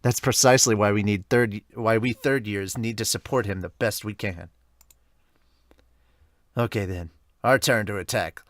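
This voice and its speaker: A man voicing a gruff, cool-headed young baseball player in a manga dub, grumbling in a low-key way. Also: moderate pace, long pauses, dry recording, close to an everyday voice. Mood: calm, resolved.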